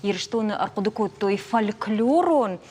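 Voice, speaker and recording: A woman's voice: mid-range voice, animated, moderate pace, darkish tone, slightly rough. A woman with an Ossetian accent, presenting and interviewing as a television host.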